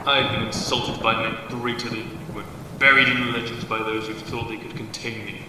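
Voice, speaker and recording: An actor playing an ancient, menacing devil bound to a bridge, making pompous, theatrical declarations in an audio drama through heavy reverb. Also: male voice, mid-range voice, level tone, moderate pace. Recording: distant, cavernous echo, noisy ambience.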